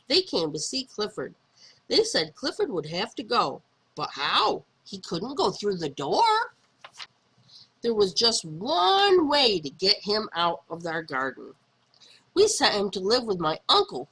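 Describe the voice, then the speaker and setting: A woman narrates a picture book for young children with very wide, swooping intonation. Her voice is bright and a little husky, and she leans on words dramatically, drawing them out for emphasis. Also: mid-range voice, huge pitch swings, a little slow. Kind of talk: expressive read-aloud narration for young children.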